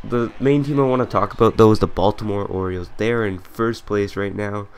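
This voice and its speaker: A congested young man with a cold, talking casually over gameplay. His voice is low, smooth and clear, with a flat, even delivery full of ums and corrections. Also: fairly quick. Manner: rambling with frequent fillers and self-corrections. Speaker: gaming commentator.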